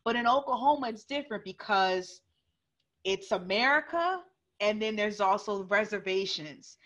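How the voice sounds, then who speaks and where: A young woman telling a story as a panelist on a video call, animated and full of restarts, through an earphone mic at home. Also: medium pitch, normal speed. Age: young adult. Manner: animated, gesturing, many restarts. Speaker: panelist author. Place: living room at home.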